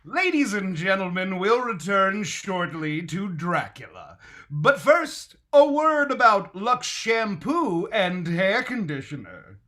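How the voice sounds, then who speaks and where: A man announcing in old-time radio style, formal, measured and theatrical. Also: high-pitched, sing-song, sharp tone.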